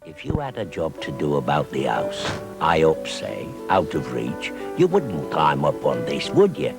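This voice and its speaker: A man's voice: a bass voice, huge pitch swings, a little slow, gravelly, music in the background. A man narrating a scripted public information film in an instructive, coaxing manner. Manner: instructive, coaxing with tag questions. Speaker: voice-over narrator.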